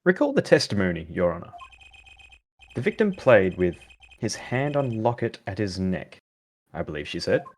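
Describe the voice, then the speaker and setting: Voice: sits low, very animated, normal speed, long pauses, a little mellow, full character voice. A person voicing an earnest young male defense attorney, dramatic and emphatic, in a live fan dub of a courtroom game. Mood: thoughtful.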